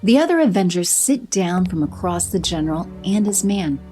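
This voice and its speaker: A woman narrating an audio drama in a measured, scripted storytelling manner. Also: fairly low pitch, animated, warm tone, soft background music.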